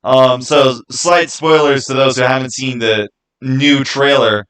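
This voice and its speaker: A man's voice: medium pitch, fairly even intonation, a little slow, fairly bright tone, smooth, poor audio. Casual, rambling male livestreamer. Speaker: livestream host. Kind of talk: casual livestream chat, answering viewers' comments.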